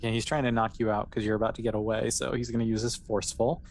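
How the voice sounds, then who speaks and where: A game master narrates a tabletop session in a casual, conversational manner. The delivery is mid-pitched and a little hesitant, with a reassuring way of explaining things. There is clear noise in the background. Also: flat delivery, fast, darkish tone.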